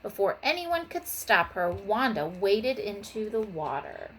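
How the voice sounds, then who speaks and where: A woman teacher reading a children's storybook aloud in an expressive way. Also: mid-range voice, measured pace, slight background noise, rough recording. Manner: expressive, voices the characters' dialogue. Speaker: elementary school teacher.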